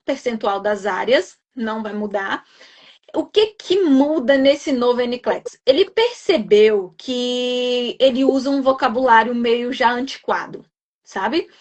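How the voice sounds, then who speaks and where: Mineira woman, kinda high voice, explaining stuff calmly on a live, lots of "né?" and "sabe?". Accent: Minas Gerais Portuguese. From Minas Gerais, Brazil. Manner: explanatory, reassuring, tag questions. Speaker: guest nurse educator. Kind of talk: live stream conversation.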